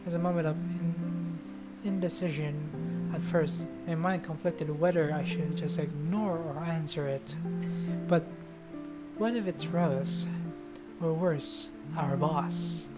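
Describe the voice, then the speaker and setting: A woman's voice: low voice, fairly even intonation, takes it slowly. A woman game streamer reading a visual novel aloud in a casual, sweary manner, with guitar music behind her. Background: loud guitar music.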